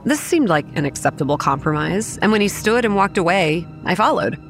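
A young woman acting as narrator of a horror audio drama, recounting grim childhood memories in a measured, matter-of-fact way. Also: on the low side, lively intonation. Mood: grave, measured. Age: young adult. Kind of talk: scripted first-person horror narration. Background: soft music.

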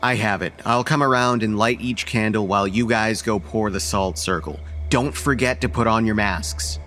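Actor as a low-voiced, bossy college guy. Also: fairly bright tone, soft background music, close to an everyday voice. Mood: bossy, brisk. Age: young adult. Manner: brisk, sardonic, directive. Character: bossy, practical male college student organizing a ritual. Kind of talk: radio horror drama acting.

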